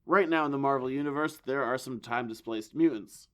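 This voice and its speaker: Man, podcast host, hoarse, losing his voice, dry jokes, casual chat. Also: medium pitch, a little fast.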